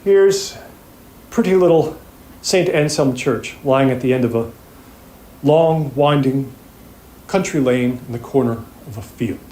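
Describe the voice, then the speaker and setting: An actor playing a methodical, pointed prosecutor delivering an opening statement to a jury in an audio drama, speaking deliberately with rhetorical pauses. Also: male voice, mid-range voice, warm tone, slight background noise, close to an everyday voice. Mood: wry, pointed. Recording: roomy, echoing sound.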